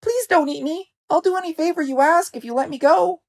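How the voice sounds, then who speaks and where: Very high, squeaky tiny storybook mouse. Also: male voice, brisk, gravelly. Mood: frightened pleading. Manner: polite, earnest. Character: tiny, polite, plucky male mouse. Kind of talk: storybook character voice.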